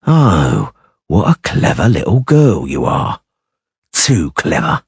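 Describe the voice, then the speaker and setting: A man voicing a cold, menacing assassin who drawls extremely slowly, in an audiobook reading. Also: rumbling low, wildly swooping pitch, breathy edge, no echo, studio quality, full character voice. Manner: slow drawl, sinister.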